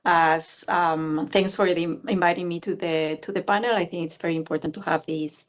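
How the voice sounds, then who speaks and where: A woman, speaking English as an in a slightly low voice. She answers a panel question on a video call, often pausing to search for words and adding a questioning "no?" to her points. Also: fairly even intonation. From Ecuador. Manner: searching for words, many fillers, tag "no?". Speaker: panelist, development bank specialist. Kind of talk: conversational expert answer.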